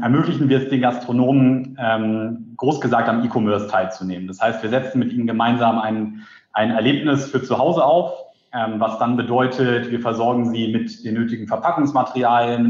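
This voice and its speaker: A man in his late twenties from Berlin, talking as an interview guest and pausing on many fillers. His audio sounds roomy and dull, as if recorded remotely. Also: medium pitch, a little mellow. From Berlin, Germany. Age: late 20s. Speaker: guest, startup co-founder. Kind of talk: casual interview.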